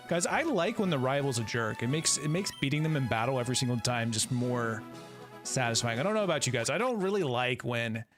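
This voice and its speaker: A man's voice: fairly high pitch, fairly bright tone. A man in his thirties playing a game on a live stream, chatting in a laid-back, friendly way and greeting viewers. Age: 30s. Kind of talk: casual live-stream chat while playing.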